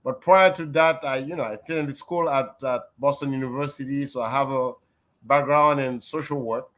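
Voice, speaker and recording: An older man from Haiti, a guest on a video call, speaking in a measured, reflective way with many fillers. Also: medium pitch, steady tone, fairly bright tone. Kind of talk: casual interview talk.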